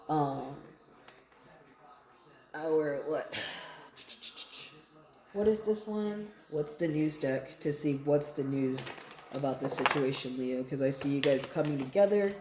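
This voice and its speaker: Low-voiced woman in an echoey room. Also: a little slow, pauses often, dark tone, slight background noise. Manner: conversational, repeats phrases, often checks in with "right?" and "okay?". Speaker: tarot card reader. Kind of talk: casual, improvised tarot reading addressed to viewers.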